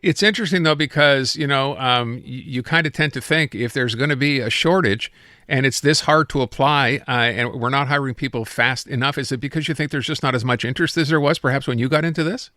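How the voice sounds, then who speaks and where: A man's voice: medium pitch, fairly quick, sharp tone, no room sound, clean studio sound. A man hosting a radio interview, asking chatty, polite, loosely phrased questions in a conversational way.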